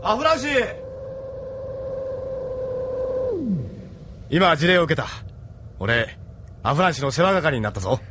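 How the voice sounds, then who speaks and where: A supportive space captain in an anime audio drama. He speaks briskly and heartily with lively pitch swings, over faint noise. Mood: upbeat. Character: hearty, loyal male spaceship captain, plain-spoken and supportive.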